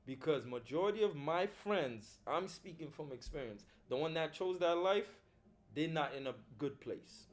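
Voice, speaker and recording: A man's voice: on the high side, expressive, normal speed. A middle-aged man, a film producer talking casually and reflectively as an interview guest, using street slang. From Haiti.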